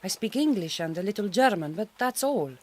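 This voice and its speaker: A woman's voice: medium pitch, lively intonation, a little fast, lightly acted. Polite young Hungarian woman, played by an actress. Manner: careful, formal phrasing. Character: young Hungarian migrant farm worker, polite, self-assured, romantic. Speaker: actress in an audio drama. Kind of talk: radio drama acting.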